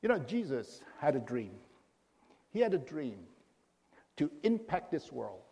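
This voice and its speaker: A middle-aged man from Indonesia preaching to a congregation as a guest pastor, in a relaxed way with many pauses and fillers. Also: on the high side, slightly rough, long reverb tail. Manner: informal, halting with many fillers. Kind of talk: sermon. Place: church sanctuary.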